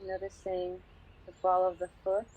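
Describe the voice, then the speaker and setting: A woman teaching a guided meditation calmly and unhurriedly, pausing often between short phrases. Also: fairly low pitch, breathy edge. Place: outdoors in a garden. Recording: slightly dull sound with birdsong. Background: birdsong.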